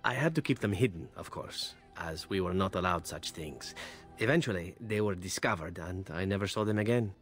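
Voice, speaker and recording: A man's voice: low-pitched, animated, moderate pace, fairly bright tone, soft background music. A suave male elf assassin from a fantasy game speaks. He is wry and world-weary, telling his tales with easy nonchalance. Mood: wistful, detached. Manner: smooth, nonchalant storytelling.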